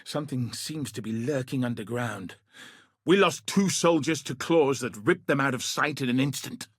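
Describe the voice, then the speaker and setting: A battle-scarred military commander from a video game, grave and plain-spoken. His voice is husky and rough at the edges, with a middling male pitch. He speaks with measured, world-weary dryness, recorded close with no room sound. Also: no room sound. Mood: businesslike, wary. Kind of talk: video game character dialogue.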